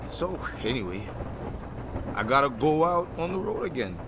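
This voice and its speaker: An actor playing an encouraging, streetwise musician and affectionate old friend in a comedic radio drama, speaking in a coaxing way. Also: male voice, on the high side, sing-song, normal speed. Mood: persuading. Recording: clear urban traffic noise behind voice.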